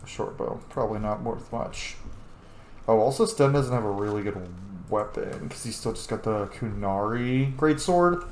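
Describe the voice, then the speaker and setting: A man's voice: on the low side, normal speed. Playful man gaming in an echoey room. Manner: playful, talks to game characters. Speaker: gamer narrating a playthrough. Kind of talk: casual gameplay commentary. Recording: echoey room, background noise.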